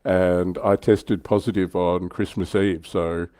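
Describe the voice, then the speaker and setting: A man with a very low, dark-toned voice hosts a casual livestream. His delivery is chatty and informal. The sound is dry, with hardly any room on it. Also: level tone, takes it slowly, no echo. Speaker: livestream host.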